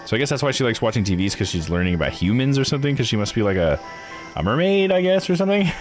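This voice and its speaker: A man's voice: medium pitch, very animated, very fast, faint music behind. A man streaming a visual novel with casual, slangy commentary, musing aloud.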